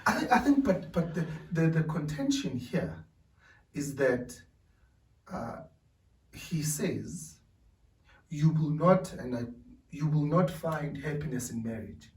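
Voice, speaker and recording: A man's voice: a little high, sing-song, slow pace, pauses often, a little mellow. A man co-hosting a casual discussion. He talks hesitantly, with stumbling restarts, and agrees readily. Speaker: podcast co-host. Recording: echoey room, distant mic, faint noise.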